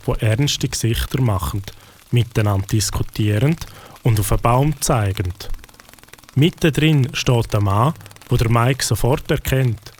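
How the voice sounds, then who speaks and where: A man's voice: medium pitch, darkish tone, slight background noise. A man with a Swiss German accent, reading scripted narration for a children's audio drama.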